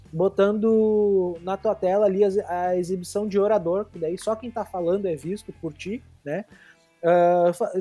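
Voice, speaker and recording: A woman's voice: medium pitch, mellow, breathy edge. A woman co-hosting a podcast, explaining things in a rambling, casual way with many restarts and tag questions. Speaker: podcast co-host. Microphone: live streamed podcast.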